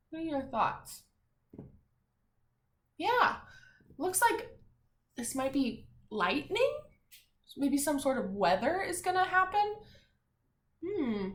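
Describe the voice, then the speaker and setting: Slow-talking woman teacher in an echoey room. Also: medium pitch. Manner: deliberate enunciation, repeating phrases and posing questions to listeners. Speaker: primary school teacher. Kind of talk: read-aloud vocabulary lesson for young children.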